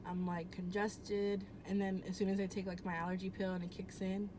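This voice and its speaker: A woman's voice: medium pitch, level tone, brisk. A young woman telling a personal story at home in a casual, rambling way, full of "um" and "like" and easy swearing. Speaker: vlogger. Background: air conditioner hum.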